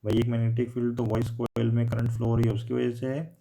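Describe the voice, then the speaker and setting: A man's voice: mid-range voice, completely flat delivery, fast, vocal fry, dark tone, slight background noise. A man teaching a technical lesson, repeating and rephrasing his points and mixing in English terms. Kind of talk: explanatory technical lecture.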